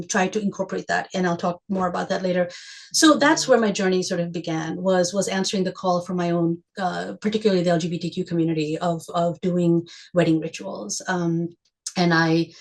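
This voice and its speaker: A woman speaking as a priestess on a discussion panel, giving a personal account in a reflective, halting way, repeating words as she thinks. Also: lowish voice.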